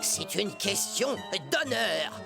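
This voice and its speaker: A woman's voice: medium pitch, big pitch swings, takes it slowly, creaky, music in the background, exaggerated voice. A woman voicing a vain, jealous rabbit in a cartoon, pompous, whiny and melodramatic. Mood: outraged. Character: vain, jealous injured rabbit, self-important rival. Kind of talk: French cartoon character acting.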